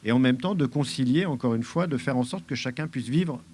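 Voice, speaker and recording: A man's voice: mid-range voice, fairly quick, darkish tone. A man on a debate panel, speaking earnestly and at length. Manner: earnest, long-winded.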